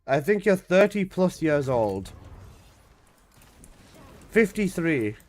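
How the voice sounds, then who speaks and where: A man in his twenties, casually chatting on a live game stream, reading out chat messages and protesting loudly, with casual swearing. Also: on the high side, very animated, slow. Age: 20s.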